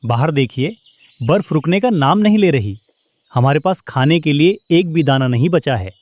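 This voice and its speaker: A man voices a good-hearted, worried male bird in a cartoon. His voice is fairly high, earnest, and recorded without any echo. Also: expressive, moderate pace, darkish tone.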